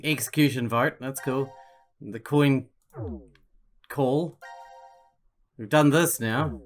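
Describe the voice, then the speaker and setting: A man's voice: medium pitch, takes it slowly. Sweary male gamer thinking aloud. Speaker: gaming streamer. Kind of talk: casual gameplay commentary. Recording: game music faintly behind.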